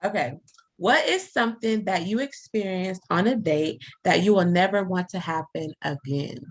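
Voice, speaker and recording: A woman of thirty hosting a casual podcast chat, talking with lots of "like" and quick laughs. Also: lowish voice. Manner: chatty, many "like" fillers, quick laughs. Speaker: podcast host.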